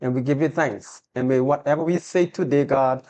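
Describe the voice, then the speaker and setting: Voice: mid-range voice, fairly quick, dark tone. A talk-show host, who speaks earnestly and prayerfully, affirms the guest often, and asks interview questions.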